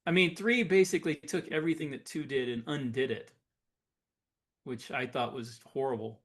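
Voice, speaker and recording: A man's voice: mid-range voice, moderate pace, fairly bright tone. A man co-hosting a podcast in casual chat, explaining things informally and often correcting himself as he goes. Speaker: podcast co-host.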